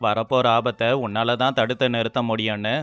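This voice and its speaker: A man's voice: medium pitch, level tone, fairly quick, fairly bright tone, clean tone, no echo, lightly acted. A man acting an indignant, accusing villager who exposes a fraud in a moral-story drama.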